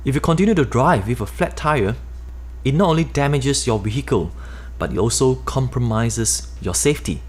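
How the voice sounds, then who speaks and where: A man's voice: medium pitch, normal speed. Malaysian man reading a money audiobook. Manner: steady, explanatory read-aloud delivery. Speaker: author narrating his own book. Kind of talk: nonfiction audiobook narration.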